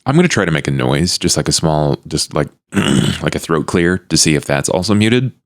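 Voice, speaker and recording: A man playing a tabletop roleplaying game, casually stating what he does in a measured, understated way. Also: very deep voice, lively intonation, no room sound.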